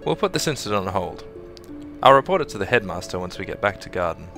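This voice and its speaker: A man doing a game fan dub in a clipped, flat delivery. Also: fairly low pitch, fast. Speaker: fan dubber voicing all parts. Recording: game soundtrack music behind.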